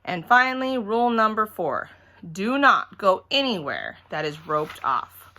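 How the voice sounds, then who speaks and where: Exasperated dad laying down the rules, played by a woman, emphatic, bright, forceful storybook voice. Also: medium pitch, big pitch swings, measured pace. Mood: stern. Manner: stern, emphatic, rule-giving. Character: stern, exasperated father running an egg hunt.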